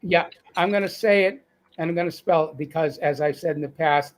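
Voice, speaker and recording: Halting man with a Boston accent. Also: a little high. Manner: halting, with many fillers and restarts. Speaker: guest. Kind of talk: casual live-stream interview. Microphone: video call.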